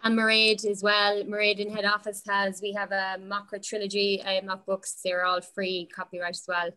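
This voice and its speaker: A woman's voice: mid-range voice, completely flat delivery, moderate pace, pushed and bright, smooth, rough recording. A woman with an Irish accent moderating a workshop informally over a video call.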